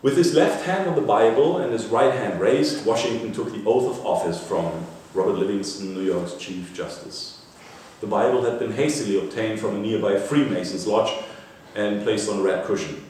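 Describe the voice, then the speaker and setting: A man reading a scripted popular history narrative aloud in a measured way, like an author presenting an excerpt, with an occasional stumble. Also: on the low side, a little mellow. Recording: echoey room, distant mic, faint noise.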